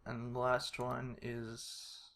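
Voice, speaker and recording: A man thinking aloud through a casual screen-recorded tutorial, halting and self-deprecating, muttering to himself. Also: mid-range voice, monotone, gravelly. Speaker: video creator demonstrating editing. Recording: noisy home mic with keyboard clicks. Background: typing and mouse clicks.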